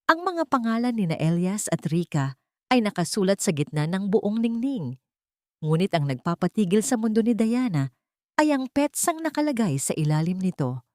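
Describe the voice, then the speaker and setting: A woman's voice: medium pitch, very animated, normal speed, dry recording, studio quality. A woman narrating a dramatic story in an emotive, scripted reading.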